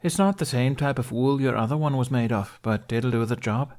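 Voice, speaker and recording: A man's voice: mid-range voice, brisk, a little mellow, voice pushed up, natural voice. A man voicing a plain-spoken, skeptical village seamstress in an audiobook, blunt and motherly as she cautions.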